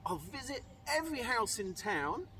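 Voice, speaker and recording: A man's voice: very high-pitched, big pitch swings, moderate pace, exaggerated voice. Man playing a dramatic, hot-tempered young prince. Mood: determined. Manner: shouting, declamatory. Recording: distant microphone, noisy background.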